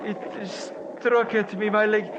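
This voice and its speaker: An actor playing a grave, respectful, fatalistic Himalayan guide in a 1950s radio drama, speaking in halting, formal phrases on an old muffled recording. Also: male voice, high-pitched, flat delivery, background noise. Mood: pained, shaken. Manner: halting, solemn, formal foreign-sounding phrasing.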